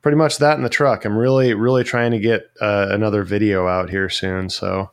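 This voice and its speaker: A man from Florida talks casually in a low, smooth, clear voice. He rambles and corrects himself as he goes, and the sound is dry and close. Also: steady tone, a little fast, no room sound. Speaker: podcast co-host, metalworker. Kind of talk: casual chat.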